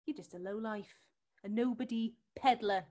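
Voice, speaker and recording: An actress plays a determined British woman in a stage drama, worried for her sister. Her voice sits high. She presses insistently and has a scornful edge. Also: moderate pace, warm tone, close to an everyday voice. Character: worried, determined sister confronting a drug dealer. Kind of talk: acted scene from a drama showcase.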